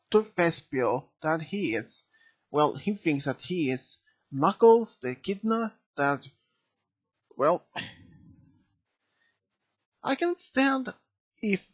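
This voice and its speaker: Slow, halting reviewer with lively pitch swings. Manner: halting, searching for words. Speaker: video reviewer. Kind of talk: unscripted review commentary. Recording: dull, lo-fi mic sound.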